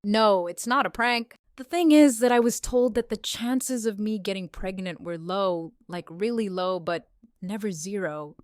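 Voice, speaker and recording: A woman voicing a brash, sharp-tongued mother in a comic dub, blunt and sardonic. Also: mid-range voice, measured pace, no room sound, clean studio sound, natural voice. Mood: nervous.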